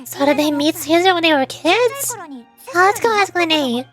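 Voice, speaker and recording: A woman with a very high voice reads game dialogue in character as a VTuber streamer. She takes her time, and music plays softly behind her. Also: lively intonation, measured pace.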